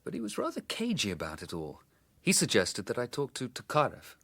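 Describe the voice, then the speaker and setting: An actor playing a matter-of-fact newspaper reporter in a radio drama, measured and candid. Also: male voice, mid-range voice, huge pitch swings, creaky, a bit bright, dry recording, heavily acted. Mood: earnest, reflective.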